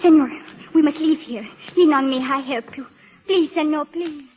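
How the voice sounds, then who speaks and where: A woman's voice: high voice, normal speed, lo-fi sound, close to an everyday voice. An actress playing a devoted, anxious young Mexican girl with a Mexican accent and simple English in a 1940s radio drama. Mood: urgent, pleading. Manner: pleading, simple broken English. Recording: old radio broadcast recording.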